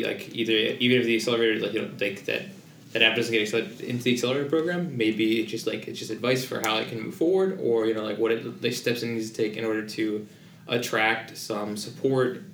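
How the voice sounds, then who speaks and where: A man's voice: on the low side, a bit bright, reverberant, slight background noise. A man co-hosting a casual podcast, talking hesitantly with many fillers.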